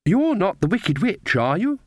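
A man's voice: sits high, sing-song, measured pace, no room sound, clean studio sound, voice pushed up, heavily acted. A man voicing an innocent but cheeky little girl in an audiobook, with a childlike, challenging and insistent manner. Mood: innocent, curious. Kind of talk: audiobook character voice.

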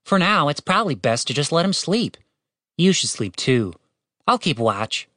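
A woman's voice: deep voice, sing-song, moderate pace, a bit bright, no room sound, voice pushed up. Woman acting a young male storybook adventurer, exasperated, casual, defensive, dry close sound. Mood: worried. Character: testy, defensive young man, reluctant adventurer.

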